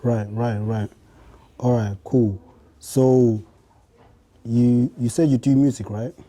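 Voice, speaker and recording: A man hosting a casual interview, asking short questions and giving quick affirmations. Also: medium pitch, fairly even intonation, warm tone. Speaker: interview host.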